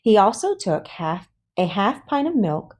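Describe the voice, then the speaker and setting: A woman reading a children's book aloud as an audiobook, with deliberate, expressive phrasing for young listeners. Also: medium pitch.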